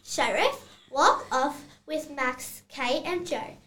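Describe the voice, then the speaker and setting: A high, animated voice of an impatient movie director acted by a child. The delivery is slow and bossy, reading out a play in an echoey room. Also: exaggerated voice. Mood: exasperated. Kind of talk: children's read-aloud play.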